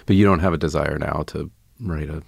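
A man's voice: extremely low pitch, fairly even intonation, a little fast, creaky, husky, no echo, clean studio sound. A man hosting an interview podcast, asking curious, rambling questions with restarts. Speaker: podcast host. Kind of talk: casual interview.

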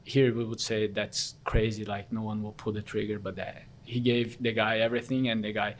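A man's voice: lowish voice, flat delivery, moderate pace, slight background noise. A man in his late thirties from Brazil whose first language is Korean, frankly telling his own story in a casual interview.

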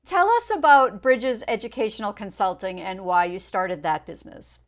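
A woman's voice: medium pitch, animated, normal speed. A woman hosting a podcast, reading a scripted introduction and then chatting in an upbeat, welcoming way. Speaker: podcast host.

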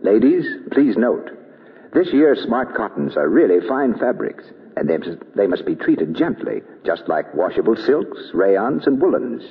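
A man's voice: fairly low pitch, very animated, unhurried, creaky. A man working as a polished, emphatic announcer in a scripted 1940s radio advertisement. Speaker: announcer and commercial interviewer. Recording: tinny, echoing old radio, some music.

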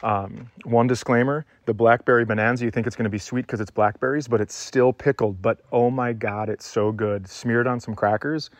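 A man's voice: mid-range voice, steady tone, brisk, few pauses, no room sound. Casual comedic male podcaster, dry close sound.

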